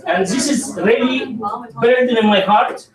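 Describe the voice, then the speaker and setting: An evangelist man giving a conference testimony, halting with many fillers and restarts. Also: extremely high pitch. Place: large conference hall. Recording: roomy hall with crowd, distant mic.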